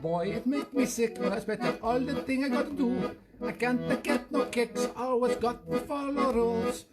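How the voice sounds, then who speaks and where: Over clear accordion music comes a woman acting a brash Italian son with a thick comic accent. The delivery is theatrical and declamatory, half spoken and half sung, like a novelty cabaret number. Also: on the high side, moderate pace, pitched above the performer's own voice, close to an everyday voice. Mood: boisterous. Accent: exaggerated Italian. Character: Giuseppe, brash comic Italian son singing about his scolding Mama.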